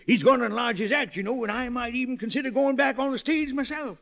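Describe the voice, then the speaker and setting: A genial, befuddled old father with a strikingly high voice. He is an old showman in a 1940s radio play, muffled by the vintage recording. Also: fast, creaky, a bit bright, exaggerated voice. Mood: cheerfully oblivious. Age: older. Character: doddering, genial old father and former stage performer.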